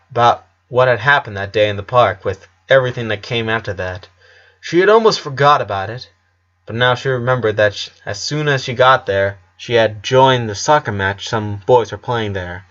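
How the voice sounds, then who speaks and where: A man's voice: medium pitch, fairly bright tone, lo-fi sound. A man reading a scripted story aloud as an audiobook narrator.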